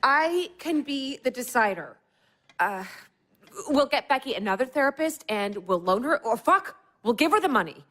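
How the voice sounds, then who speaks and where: A woman's voice: sits high, big pitch swings, moderate pace, bright, forceful tone, exaggerated voice. A woman playing an anxious, combative young married woman, blunt and sarcastic, in a naturalistic audio drama. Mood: exasperated.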